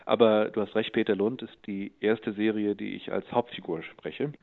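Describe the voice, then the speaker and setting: A man's voice: lowish voice, fairly even intonation, normal speed, vocal fry. A middle-aged man speaking conversationally as an interviewed voice actor, telling anecdotes over a thin phone-like line.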